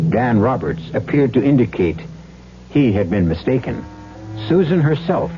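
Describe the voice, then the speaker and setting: An American man hosting a 1970s radio drama with deliberate, theatrical scripted narration. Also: mid-range voice. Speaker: host and narrator. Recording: dull old radio recording, music behind.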